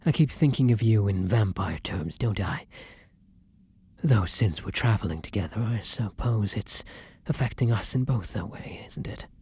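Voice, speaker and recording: A weary vampire lover played by a man in an intimate ASMR romance roleplay. His voice is lowish, very husky and breathy, often sinking to a whisper, with gentle, courtly endearments. The sound is close and dry. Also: dry recording, exaggerated voice. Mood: apologetic, affectionate. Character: tender, weary male vampire lover on the run.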